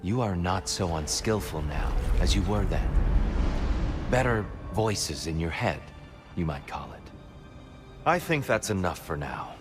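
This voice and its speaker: An actor playing a wise, theatrical godly mentor in a video game, speaking in an eloquent, probing manner. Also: male voice, low voice, very animated, a little slow. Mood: calm, counselling. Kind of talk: cinematic video game acting. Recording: loud music behind the voice.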